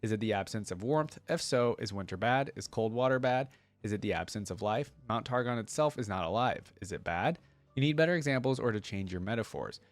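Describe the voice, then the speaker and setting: Fast-talking man, dry close sound. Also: medium pitch, no echo. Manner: informal, conversational. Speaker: host of a fan reaction video. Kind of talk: casual reaction commentary mixed with reading story text aloud.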